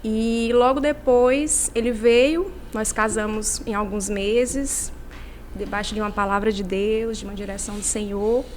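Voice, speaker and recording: A woman's voice: medium pitch, steady tone, unhurried, slight background noise. A woman pastor, telling her story steadily as a podcast guest. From Imperatriz, Brazil. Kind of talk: personal storytelling.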